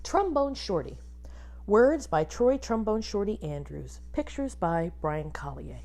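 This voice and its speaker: A woman teacher reading a picture book aloud to children expressively, pausing to ask them questions and point things out. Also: medium pitch, slight background noise. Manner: animated, addresses young children with questions.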